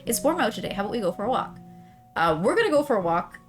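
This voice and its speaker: A woman reads a visual novel aloud and chats casually as she plays it. Her pitch is middling, and she often trails off mid-thought in an informal way. Music plays behind her voice. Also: very animated, fast talker. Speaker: streamer playing and reading a visual novel. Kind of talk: casual let's-play commentary mixed with read-aloud narration.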